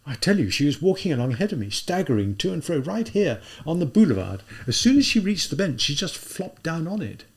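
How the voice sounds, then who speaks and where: A man's voice: fairly high pitch, very animated, fairly quick, few pauses, voice pushed up. Feverish young man, tense and raised, urgent, as a male reader plays him.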